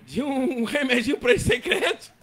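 A man with a southern Brazilian accent telling stories in casual, animated, slangy podcast chat. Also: very high voice, a little fast, faint music behind. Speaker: football coach. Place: small indoor podcast studio with several people at a table. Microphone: close studio mic on boom arm.

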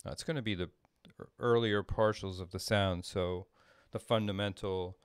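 A middle-aged man giving a casual coding demo as a tutorial presenter, halting and thinking aloud as he works. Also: lowish voice, steady tone, clean tone, dry recording, clean studio sound. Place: small home room, indoors.

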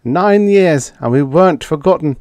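A man's voice: a little high, lively intonation, normal speed, darkish tone, no echo, voice pushed up, natural voice. A man playing a weary starship captain. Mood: tense. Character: gaunt, weary starship captain, steady and determined.

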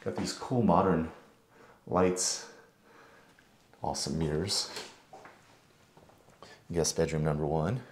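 Brief: male realtor, deep low voice, easygoing tour patter, faint background hiss. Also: slow, pauses often. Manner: relaxed, chatty, lots of filler words. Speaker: real estate agent giving a home tour. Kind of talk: casual walkthrough narration. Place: house, indoors and outdoors.

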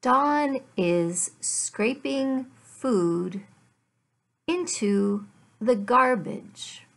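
Very slow, creaky-voiced woman teaching. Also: on the high side, animated. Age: middle-aged. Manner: deliberate, word-by-word enunciation for learners. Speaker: English teacher. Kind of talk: slow English lesson with repeated questions and answers. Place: small furnished room at home.

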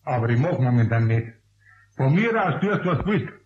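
A man playing a grumpy older craftsman in a radio play, gruff and dry, with a Bavarian accent. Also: mid-range voice, expressive, moderate pace, darkish tone, slight background noise, close to an everyday voice. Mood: grumbling. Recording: dull, echoey old radio play recording.

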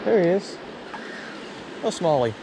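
A young man, excitedly narrating as he fishes in a river, recorded on a head-mounted camera. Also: sits high, gravelly. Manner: excited, folksy exclamations. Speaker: fishing vlogger. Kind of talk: casual narration while fishing. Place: outdoors wading in a river. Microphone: head-mounted action camera. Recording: outdoor camera mic with rushing water noise.

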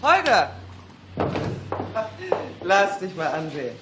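An actress playing a middle-aged police secretary in a radio play, unflappable, polite and drily pointed. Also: female voice, sits low, big pitch swings, takes it slowly, noisy background, heavily acted. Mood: motherly teasing. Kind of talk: German radio play acting.

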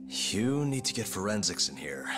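A man's voice: lowish voice, sing-song, moderate pace. A man playing a defiant ex-lawyer detective in a video game drama, with a laid-back, sardonic, probing manner. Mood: cocky, sardonic. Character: cocky, defiant male ex-lawyer turned detective. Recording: music under the dialogue.

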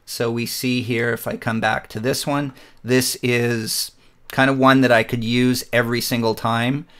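Male tutorial narrator, mid-range pitch, relaxed step-by-step delivery with fillers. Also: a little slow, smooth. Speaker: photography software instructor. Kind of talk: screen-recorded software tutorial.

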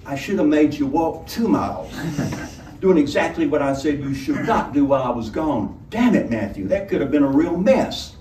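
A gruff storybook companion, read aloud by a man chides and grudgingly concedes. The recording is roomy, set back, with faint noise. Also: a little high, animated, normal speed, lightly acted. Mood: chiding. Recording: roomy, distant microphone, some noise.

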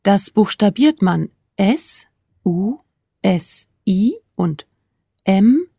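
A woman reads a scripted introduction slowly and carefully. Her mellow voice has a gravelly, husky edge. The sound is dry and close. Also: mid-range voice, animated, no echo. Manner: careful, deliberate pronunciation. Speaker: course speaker reading a self-introduction. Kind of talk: slow scripted reading.

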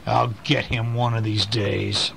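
A man's voice: on the low side, little pitch movement, slow pace, creaky, heavily acted. Man doing a scheming, menacing storybook bully. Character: big, strong, jealous bully and trickster in a storybook. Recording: noisy amateur home recording.

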